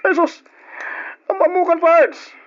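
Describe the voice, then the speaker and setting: An actor playing a boisterous, teasing drinking buddy, loud and jeering, in old radio drama style. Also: male voice, extremely high pitch, steady tone, takes it slowly, lo-fi sound, slight background noise. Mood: teasing, rowdy.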